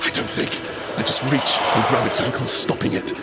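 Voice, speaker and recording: An actor playing a frightened survivor in an audio drama, narrating urgently in the first person and present tense in fragmented phrases. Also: male voice, mid-range voice, steady tone, moderate pace, over loud music, close to an everyday voice. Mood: tense, horrified. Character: desperate, frightened male survivor of a monster apocalypse. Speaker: narrator and lead character.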